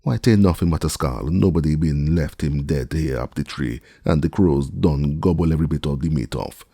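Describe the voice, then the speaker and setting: A man's voice: extremely low pitch, normal speed, gravelly, mellow, dry recording, studio quality, full character voice. A man voicing an older male servant who is superstitious and stubborn, in drawling dialect speech, read as an audiobook character. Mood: wheedling.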